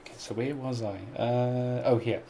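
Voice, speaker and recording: A man's voice: mid-range voice, fairly even intonation, moderate pace, dark tone, a little hiss or hum. A South African man hosting a casual live-stream Q&A, chatty and earnest, who laughs often and pronounces his words very carefully. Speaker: music reaction YouTuber hosting a live stream.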